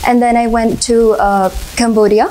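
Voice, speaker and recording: A young woman in her twenties with an American accent and a Hindi-speaking home, answering interview questions politely, often saying "sir" and pausing on "uh". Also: fairly high pitch, flat delivery, mellow, a little hiss or hum, low-quality recording. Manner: polite, says sir often, frequent uh fillers, light laughs. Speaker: interview candidate. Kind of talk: formal mock interview answers.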